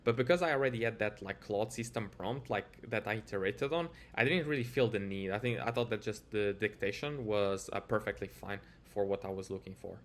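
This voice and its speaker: A man with a Portuguese accent and a somewhat low voice presents a casual software tutorial. He talks informally as a developer showing his screen, hesitating and padding his sentences with filler words. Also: brisk, few pauses.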